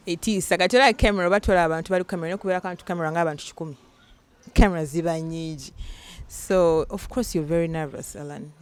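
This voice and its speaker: Ugandan woman, young, fast and smooth, tells it animated. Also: on the low side. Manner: animated storyteller, acts out quotes. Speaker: singer guest. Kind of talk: casual interview, mixing Luganda and English.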